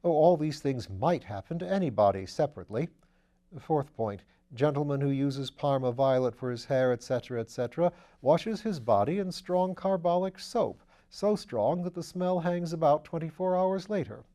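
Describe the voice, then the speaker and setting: A lively upper-class gentleman detective, as a male reader puts him on, pitched a bit above a mellow natural voice. He talks in brisk, eager bursts of posh slang. It is an audiobook character voice. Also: lightly acted. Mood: breezy. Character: breezy aristocratic English gentleman sleuth, man.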